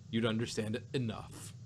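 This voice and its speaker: A man's voice: lowish voice, husky. A man co-hosting a casual review chat about an audio drama, rambling with many restarts and joking quoted asides.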